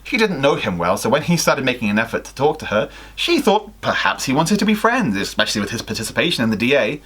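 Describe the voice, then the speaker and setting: A man's voice: mid-range voice, very animated, fast, fairly bright tone, husky. A young man reading a story aloud expressively, with dramatic emphasis and the odd self-correction. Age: young adult. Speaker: guest reader on a livestream. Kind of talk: expressive fan fiction story reading with casual asides. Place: small home room with sloped ceiling.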